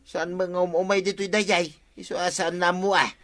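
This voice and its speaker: A questioning sidekick to a village captain in an Ilocano radio comedy. His voice is fairly high and sharp, recorded dry, with an Ilocano accent. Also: flat delivery, normal speed, dry recording. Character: captain's nosy, questioning male buddy. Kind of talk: broadcast comedy drama acting in Ilocano.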